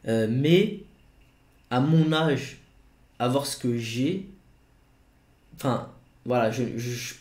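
A man's voice: medium pitch, slow pace, long pauses, a little mellow, echoey room. A man in his twenties talking casually to camera from his home studio, rambling with many fillers and restarts. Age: 20s. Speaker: YouTuber.

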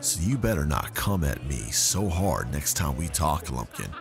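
A man playing an earnest podcast creator in a scripted audio drama, measured and explanatory. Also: extremely low pitch, big pitch swings, heavily acted. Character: earnest male podcast creator being grilled by detectives. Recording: music under the voice.

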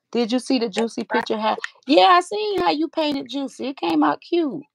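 Gravelly-voiced woman hosting a livestream. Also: high-pitched, animated, a little slow, no room sound, low-quality recording. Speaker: livestream host. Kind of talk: casual livestream chat. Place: home room, indoors.

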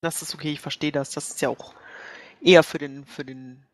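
A woman's voice: sits low, steady tone, fairly quick. A woman chatting casually as a player in a tabletop role-playing game.

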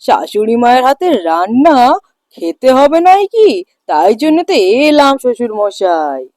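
A man's voice: very high voice, big pitch swings, moderate pace, a little mellow, no room sound, studio quality. A man playing a gluttonous young son-in-law in a cartoon folk tale, coaxing and always chattering about food. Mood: eager, wheedling.

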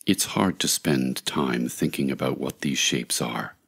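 A man narrating a scripted guided meditation in a measured, soothing, deliberate way, with long pauses. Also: rumbling low, fairly bright tone, husky.

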